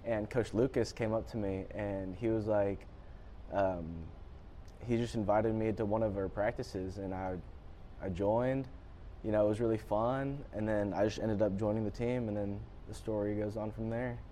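A man's voice: on the low side, steady tone, moderate pace, dark tone, a little hiss or hum. A teenage boy born in Japan, answering interview questions politely and often saying "yes, sir". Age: teens. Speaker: student athlete guest.

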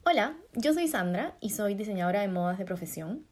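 A young adult woman with a Peruvian Spanish accent, co-hosting a podcast and introducing herself in a clear, steady way. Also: medium pitch, brisk. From Peru. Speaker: podcast co-host, fashion designer.

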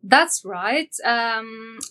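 A young adult woman from Norway with a Norwegian accent, a podcast guest talking in a casual interview and often pausing on ums and uhs as she searches for words. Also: fairly high pitch, expressive, bright, forceful tone.

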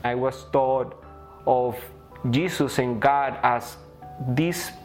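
A man's voice: mid-range voice, steady tone, takes it slowly, darkish tone, faint music behind. A man from Colombia giving an earnest video testimony about his faith. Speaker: church member giving testimony.

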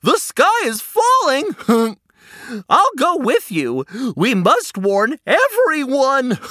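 Storyteller doing a giggly goose, high and bouncy, freaking out. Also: drawn-out words, no room sound, clean studio sound, exaggerated voice. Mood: excited. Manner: giggly, breathless excitement. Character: excitable goose joining the alarm.

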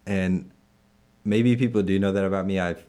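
Very deep-voiced young man, halting. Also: fairly even intonation, dark tone. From Illinois, USA. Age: 20s. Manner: halting, many fillers and restarts, laughs often. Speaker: interviewed staff member. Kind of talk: casual interview.

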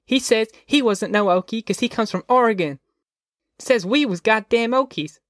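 Man voicing a scrappy high-pitched little country boy. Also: moderate pace, a bit bright, no echo, studio quality. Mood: outraged. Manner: folksy, fierce, defiant. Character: indignant, scrappy young migrant boy.

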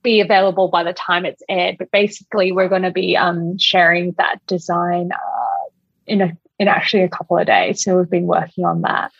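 A woman's voice: on the low side, level tone, a little fast, vocal fry, breathy edge. A woman with an Australian accent chatting casually as an interview guest, with plenty of "like" and "you know". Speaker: podcast guest, quilt designer. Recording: echoey room, slightly muffled audio.

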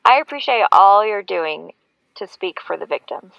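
Grateful lady caller, creaky voice, muffled dry phone-in sound, audio drama. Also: medium pitch, lively intonation, normal speed, fairly bright tone, dry recording. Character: grateful woman caller to a talk show. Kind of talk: fiction podcast acting as phone-in caller. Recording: dry, dull lo-fi call line.

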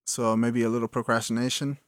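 A man's voice: mid-range voice, level tone, fast, no echo, studio quality. A man interviewing a guest, asking long, hesitant questions full of "um" and "uh". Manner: hesitant, filler-heavy questions.

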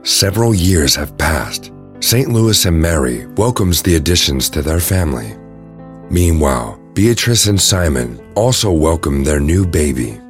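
Male narrator, bass register, scripted audio drama storytelling over soft music. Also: animated, unhurried.

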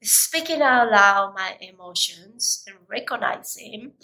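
A woman with a slightly raspy voice giving an emphatic, deliberate motivational talk, as a coach speaking directly to viewers. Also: mid-range voice, animated, pushed and bright, rough recording.

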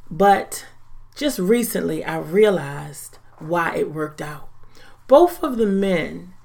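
A woman in her forties hosting a faith-based podcast, talking in an earnest, deliberate, reflective way. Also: on the low side, animated, vocal fry, a little mellow. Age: 40s. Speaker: podcast host.

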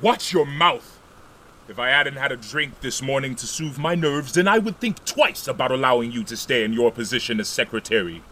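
A man voicing a proud, aging lord of an empire, imperious and quick to anger. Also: medium pitch, animated, sharp tone, slight background noise, low-quality recording. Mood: stern. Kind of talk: audio drama acting.

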